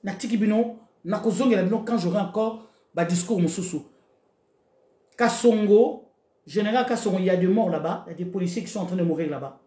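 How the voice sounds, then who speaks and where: A woman's voice: mid-range voice, fairly quick, warm tone, reverberant. A Congolese-accented woman delivering an impassioned political rant to her online followers, exhorting them and repeating phrases emphatically as she switches between Lingala and French. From Congo (DRC). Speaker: online political commentator, video host.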